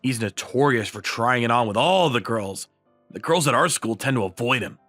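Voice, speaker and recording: Guy doing a whiny dramatic anime high school boy, bright voice, swings all over, music behind. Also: expressive, moderate pace, lightly acted. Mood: dry narration. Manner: exasperated, dramatic outbursts and glum inner monologue. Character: excitable, self-pitying teenage high school boy, envious and girl-shy. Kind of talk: comic anime-style dub acting with narration.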